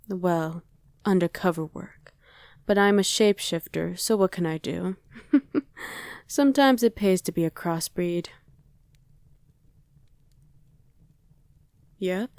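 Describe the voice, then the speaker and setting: A woman's voice: on the low side, a little slow, long pauses, a little mellow, dry recording. A woman playing a smug, haughty female shapeshifting demon who taunts mortals in an ASMR roleplay.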